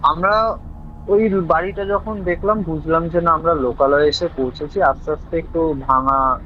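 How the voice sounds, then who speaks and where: A young man with a West Bengal Bengali accent telling a personal story casually over a phone call, animated and dropping English words into his speech. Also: on the high side, moderate pace. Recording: dull lo-fi call audio, faint vehicle-like noise.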